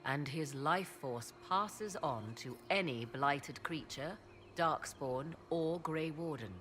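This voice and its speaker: A woman's voice: sits low, takes it slowly, a little hiss or hum. A woman playing a haughty, learned, enigmatic sorceress in a fantasy game, speaking in formal, archaic diction. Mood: measured, grave. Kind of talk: dramatic fantasy game voice acting. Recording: loud game music behind the voice. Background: game soundtrack music.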